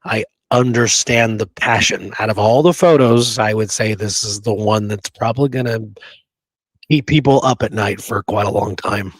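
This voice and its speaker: A man's voice: fairly low pitch, moderate pace, breathy edge, no room sound, rough recording. A man co-hosting a history discussion show, chatting casually and using informal slang. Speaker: podcast co-host.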